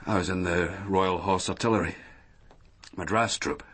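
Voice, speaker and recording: A lonely, defensive middle-aged businessman in a naturalistic radio drama. His voice is very low and badly husked. He talks haltingly, circling back over his words with a weary air. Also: steady tone, fairly bright tone, breathy edge. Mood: hesitant, explaining. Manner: hesitant, halting, repeating himself.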